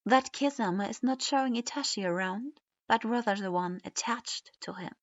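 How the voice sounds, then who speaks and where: A woman's voice: a little high, moderate pace, no room sound, close to an everyday voice. A woman reading as a cool, wry puppet master. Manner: casual, wry. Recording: dull lo-fi recording.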